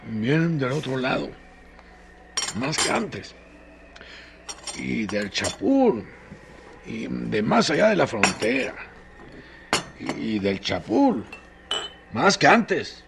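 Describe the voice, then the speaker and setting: An actor playing a rustic, gossipy Mexican countryman in a radio drama, telling things in a halting, repetitive, folksy way. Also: medium pitch, sing-song, gravelly, background noise, heavily acted. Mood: chatty, unhurried. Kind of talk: radio drama acting, colloquial storytelling.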